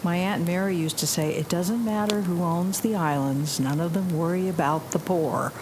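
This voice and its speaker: A woman's voice: fairly low pitch, normal speed, lightly acted. An actress playing a plucky, wry young woman pilot in a 1940s wartime audio drama, playful and teasing. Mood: earnest. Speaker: actor. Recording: noticeable noise behind the voice.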